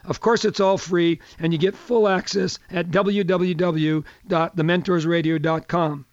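An older man hosting a radio talk show in a chatty, folksy manner, his voice a little hoarse. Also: high-pitched, fairly even intonation, measured pace, no room sound, muffled recording. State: slightly hoarse.

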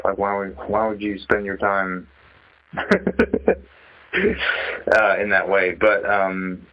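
A man with a fairly low voice speaks casually over a muffled phone connection. He is an artist being interviewed, feeling his way through his thoughts with halting, reflective phrasing. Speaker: interviewee, sculptor. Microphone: telephone. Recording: dull, band-limited phone call.

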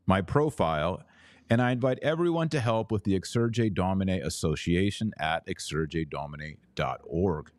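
A man hosting a religious news podcast, commenting and reading aloud with deliberate, emphatic phrasing and drawn-out words. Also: deep voice, no echo. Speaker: podcast host.

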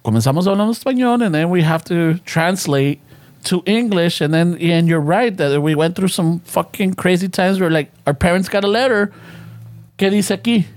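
High-voiced man in bilingual casual chat. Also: normal speed, dry recording. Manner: rambling, laughs at own stories, swears. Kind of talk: casual chat mixing English and Spanish.

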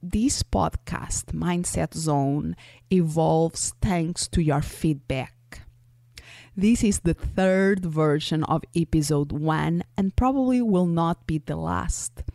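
A woman with a Portuguese accent talks slowly and deliberately, her voice low-pitched and warm. She hosts a solo podcast in an earnest, coaching manner, recorded close and dry with no room sound. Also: vocal fry, no echo. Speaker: podcast host. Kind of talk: scripted solo podcast monologue.

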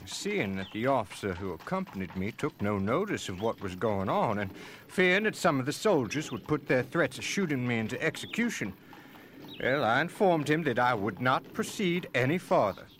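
An indignant nineteenth-century slaveholder in a dramatic reading. His delivery is formal and emphatic, with very lively swings in pitch at a middling register. There is faint noise behind him. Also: moderate pace. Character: indignant Maryland slaveholder filing a formal complaint. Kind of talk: dramatic reading of historical documents.

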